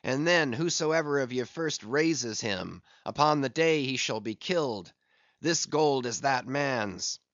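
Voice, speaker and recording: A man's voice: medium pitch, animated, measured pace, a bit bright, dry recording. A man voicing a grim, obsessed older sea captain who barks stern orders, on a dull lo-fi audiobook recording. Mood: commanding. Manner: stern, commanding, brooding.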